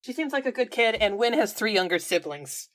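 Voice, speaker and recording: A woman's voice: fairly high pitch, big pitch swings, brisk, a bit bright, no room sound, clean studio sound. A woman casually improvising as a player in a tabletop role-playing game, moving between narration and dialogue.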